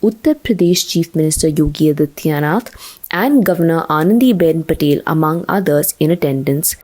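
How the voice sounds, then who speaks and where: Slow, mellow Indian woman narrating news. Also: sits low, animated. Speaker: reporter narrating a news video. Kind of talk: scripted narration.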